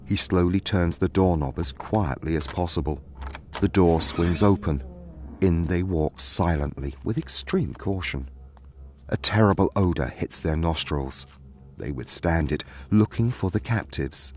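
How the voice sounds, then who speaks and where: A man's voice: low-pitched, measured pace, darkish tone. A man narrating a scripted fantasy story as its storyteller.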